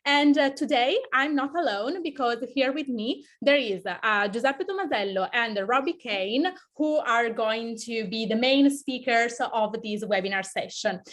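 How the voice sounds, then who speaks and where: A woman's voice: on the high side, fairly bright tone. Italian-accented woman hosting a webinar. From Italy. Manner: friendly, welcoming, halting non-native phrasing. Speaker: webinar host. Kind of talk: hosting a live online webinar. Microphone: video call.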